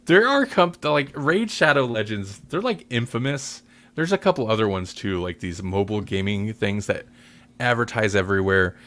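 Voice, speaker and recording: A man's voice: fairly low pitch, fairly bright tone. A man hosting a podcast, talking in a casual, rambling way with joking, self-deprecating asides. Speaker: podcast host.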